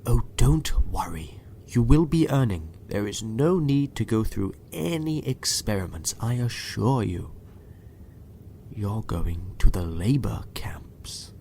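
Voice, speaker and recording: Man as a slow, sinister, condescending doctor. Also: lowish voice, very animated, dark tone, a little hiss or hum, heavily acted. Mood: falsely courteous. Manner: smooth, condescending, dropping into menacing murmurs. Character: sinister, politely menacing doctor in command. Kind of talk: audio drama acting.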